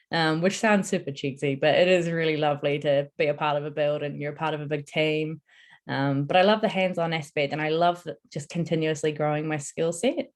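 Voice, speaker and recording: A young woman answering interview questions in a casual, earnest and enthusiastic way. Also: low-pitched. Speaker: interviewee, construction apprentice.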